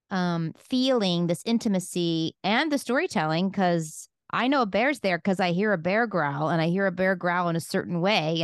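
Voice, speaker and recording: A woman's voice: mid-range voice, no echo, studio quality. A woman interviewer talking casually, thinking aloud and piling up questions.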